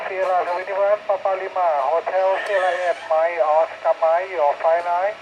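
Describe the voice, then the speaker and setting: A man's voice: high-pitched, little pitch movement, drawn-out words. A man working as an amateur radio operator, giving terse call signs over a two-way radio. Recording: muffled radio link, loud static.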